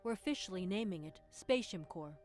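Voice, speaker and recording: A woman voicing a serious, authoritative special-operations captain in a measured, matter-of-fact way. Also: medium pitch, expressive, darkish tone, faint music behind, pitched below the performer's own voice, natural voice.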